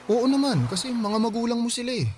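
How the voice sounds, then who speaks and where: A man's voice: extremely high pitch, lively intonation, fast, a little mellow, slight background noise. A man playing an earnest, caring young boyfriend in a radio drama, speaking in a tender, reassuring way.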